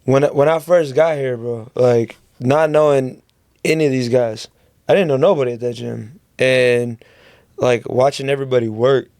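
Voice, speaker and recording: A man's voice: mid-range voice, steady tone, moderate pace, a little mellow, no room sound, studio quality. A man from San Antonio, a coach rambling casually on a podcast, full of slang and "bro". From San Antonio, Texas. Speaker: guest striking coach. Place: podcast studio.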